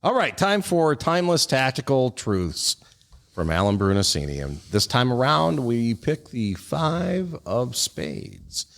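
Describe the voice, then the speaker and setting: A man's voice: mid-range voice, huge pitch swings, measured pace, creaky, fairly bright tone. A man co-hosting a podcast, presenting a segment and reading aloud with casual comment. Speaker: podcast co-host.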